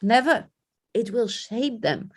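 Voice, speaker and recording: A woman from France with a French accent, a guest in an interview, speaking earnestly and slowing down to stress key points. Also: fairly high pitch, slightly rough. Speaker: guest education researcher. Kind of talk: interview conversation.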